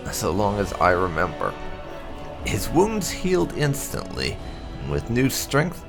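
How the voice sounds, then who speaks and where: A man reads game lore aloud in a low, gravelly voice with plenty of fry. His delivery is measured and storyteller-like, rising and falling in a lively way with emphatic phrasing. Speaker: gaming channel host. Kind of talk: reading game lore aloud as scripted narration.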